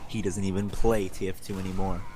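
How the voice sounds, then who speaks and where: A man with a very low voice reads amateur fiction aloud in a theatrical, mock-serious way. The recording has clear background noise, as heard over a group voice-chat stream. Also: level tone, brisk. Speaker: reader of fan fiction. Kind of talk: dramatic storybook-style reading. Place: online voice chat during a live stream.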